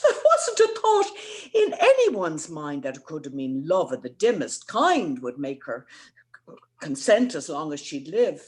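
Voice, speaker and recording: A woman playing an indignant, sharp-tongued young woman in a theatrical, scornful dramatic reading of comic verse. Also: sits low, huge pitch swings, a little slow, full character voice. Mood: mocking. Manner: scornful, theatrical, emphatic delivery.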